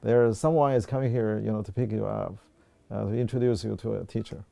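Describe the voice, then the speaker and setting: A man in his sixties speaks with a Chinese accent and a very dark, mellow tone. His voice is gravelly and stays fairly even as he recounts his own story in a reflective, halting way. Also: fairly low pitch, no echo. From China. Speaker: artist and art professor. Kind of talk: personal storytelling in a documentary interview.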